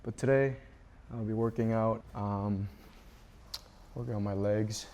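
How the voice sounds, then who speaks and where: A man in his twenties from South Korea, a native Korean speaker, talking casually and haltingly to camera in unscripted English as a beginner vlogger. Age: 20s. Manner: hesitant, halting, low-key. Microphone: small wireless clip-on mic. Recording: steady background noise on a small wireless mic.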